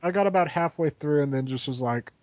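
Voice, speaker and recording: A man's voice: a little high, fairly quick, darkish tone, dry recording. A man on a podcast panel chatting casually about films and shows. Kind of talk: casual film discussion. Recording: dull lo-fi voice chat.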